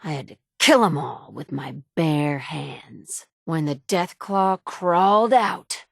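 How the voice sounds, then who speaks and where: A woman's voice: low-pitched, animated, takes it slowly, vocal fry, no room sound, heavily acted. A woman voicing the player character in a video game, a capable survivor who talks in a direct, firm way. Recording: dry studio recording.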